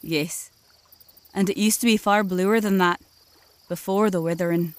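A woman's voice: fairly low pitch, steady tone, unhurried, dry recording, lightly acted. A woman playing a stately, helpful elder of magical water folk, speaking formally and grandly in a fantasy comedy audio drama. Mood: gracious. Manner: formal, grandly archaic diction.

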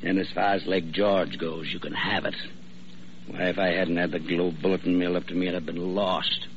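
An actor playing a plain-spoken, grumbling hospital patient with a wry manner in 1940s radio drama style. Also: male voice, low voice, level tone, fairly quick. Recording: muffled, hissy old radio recording.

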